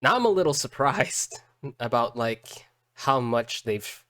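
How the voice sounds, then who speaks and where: A man doing casual live reaction commentary as a streamer, halting and repeating words as he thinks aloud. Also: medium pitch, lively intonation, sharp tone, dry recording. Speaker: streamer reacting to game videos.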